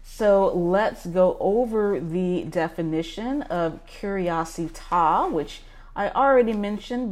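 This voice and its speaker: A woman with a fairly low voice talks at an unhurried pace. She hosts a book club podcast and walks eagerly through a list of ideas. She fills the pauses with ums. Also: a little mellow. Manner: enthusiastic, reads lists with frequent ums. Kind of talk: casual podcast talk reading from a book.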